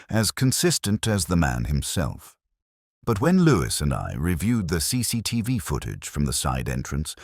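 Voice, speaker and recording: A man playing a weary, middle-aged literary police inspector, narrating his case in a measured, reflective detective-drama style. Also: rumbling low, no room sound, studio quality, exaggerated voice. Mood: reflective, grave. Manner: measured, brooding, literary delivery. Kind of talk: scripted first-person detective narration.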